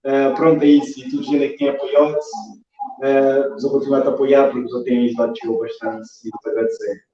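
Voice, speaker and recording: A man's voice: medium pitch, flat delivery, a little fast, mellow. A man chatting casually as an interview guest. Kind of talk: casual chat. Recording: muffled, roomy, distant online call.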